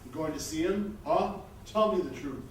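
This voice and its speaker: Jealous stage lover, animated and echoey man acting. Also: a little high, moderate pace, natural voice. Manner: exclamatory, needling. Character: jealous, clingy male lover and agent of a star. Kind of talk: stage comedy reader's theatre acting. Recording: very echoey stage, distant mic, noisy.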